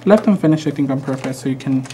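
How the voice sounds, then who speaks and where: A man's voice: mid-range voice, fast talker, mellow, slight background noise. A man with a Mexican American accent demonstrating a craft project live, chatty and easygoing with frequent ums. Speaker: craft instructor.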